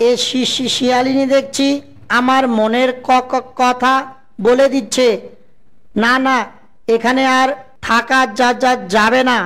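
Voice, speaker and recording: A woman's voice: sits high, level tone, takes it slowly, long pauses, fairly bright tone, heavily acted. A woman voicing a scheming male cartoon fox, an eager suitor who stutters heavily on syllables. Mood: nervous. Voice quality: heavy stutter, repeating syllables. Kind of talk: cartoon voice acting.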